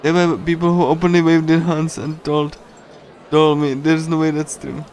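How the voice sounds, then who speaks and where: A man reading a visual novel aloud on a casual livestream, in a halting, pieced-together way. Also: on the high side, little pitch movement, a little mellow. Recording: music behind the voice. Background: game music.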